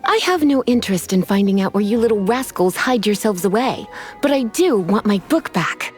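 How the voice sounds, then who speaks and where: A woman voicing an elegant, languid witch librarian in a video game, coy and formal with quiet menace. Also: mid-range voice, lively intonation, music in the background, close to an everyday voice. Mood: coolly threatening. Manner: coy, unhurried, formal wording with teasing sighs.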